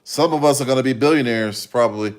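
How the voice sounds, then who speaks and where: A man's voice: medium pitch, quick pace. A man in his fifties hosting a livestream, chatting casually with viewers in a rambling way and often repeating himself. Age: 50s. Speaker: livestream host.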